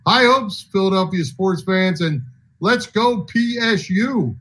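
A man's voice: high-pitched, animated, slow pace, a bit bright, a little hiss or hum. A man co-hosting a sports radio show, talking casually and reading out show plugs.

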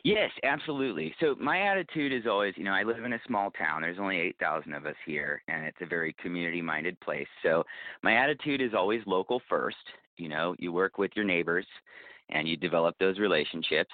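A man's voice: sits low, animated, brisk, dry recording. A man giving earnest, enthusiastic answers in a conversational interview as a podcast creator and guest, over a call. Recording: narrow, tinny phone-line sound.

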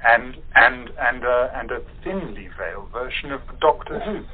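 A man's voice: mid-range voice, lively intonation, normal speed. A man chatting casually over a narrow call, wry and self-deprecating with a theatrical turn of phrase. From moved from abroad to the show's country. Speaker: voice actor in an audio theatre group. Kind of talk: casual chat. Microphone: phone or internet call. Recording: muffled, narrow phone-line call.

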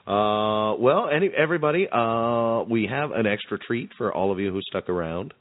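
A man's voice: mid-range voice, animated, moderate pace. A man co-hosting a podcast in casual, joking chat with a wry manner. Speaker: podcast co-host. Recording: narrow phone-like sound.

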